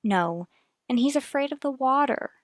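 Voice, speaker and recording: A woman's voice: sits high, expressive, moderate pace, no echo, studio quality. An actress playing a worried, earnest young mother in a vintage radio soap opera, confiding in a careful, hesitant way. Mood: anxious, pained.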